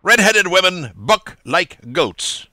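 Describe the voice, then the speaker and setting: A man voicing a boisterous, mocking young man who speaks loudly and theatrically. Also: sing-song, takes it slowly, no room sound, studio quality, full character voice. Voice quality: loud, high, mock-solemn.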